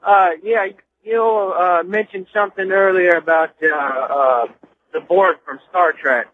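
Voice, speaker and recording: A man calling in to a radio show, speaking casually in a halting, hesitant way over a noisy, narrow phone line. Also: high-pitched, fairly even intonation, no room sound.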